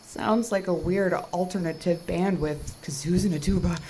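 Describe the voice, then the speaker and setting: An actress playing a spirited, teasing field technician out camping in an audio horror drama, expressive in delivery. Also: female voice, lowish voice, moderate pace, slight background noise, close to an everyday voice.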